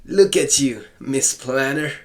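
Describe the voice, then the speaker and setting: A man's voice: mid-range voice, takes it slowly. A man casually commenting while playing a visual novel, playful and sarcastic, joking back at the story text.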